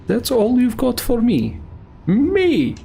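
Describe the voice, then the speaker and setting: Defiant woman warrior, played by a man, high strained voice, scolding, pleading, game playthrough. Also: wildly swooping pitch, slow, creaky, dark tone, a little hiss or hum, heavily acted. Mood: indignant.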